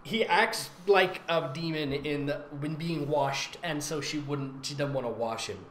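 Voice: mid-range voice, measured pace, fairly bright tone. A fan fiction reader doing a comic, theatrical read-aloud, with mocking asides and frequent laughter. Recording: roomy echo with faint background noise.

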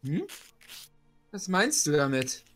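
High-pitched man in casual game chat. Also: big pitch swings, slow pace, faint music behind. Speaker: player in tabletop game.

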